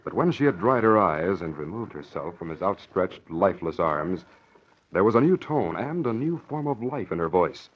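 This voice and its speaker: Male old-time radio narrator, lowish voice, formal dramatic swings, dull broadcast recording. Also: big pitch swings, moderate pace, husky. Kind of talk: 1940s radio announcing and scripted narration.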